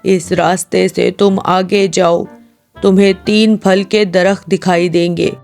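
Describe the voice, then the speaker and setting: A woman voicing a kindly old man in a cartoon story, gentle and instructive, over music. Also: lowish voice, level tone, slow, gravelly, pitched below the performer's own voice.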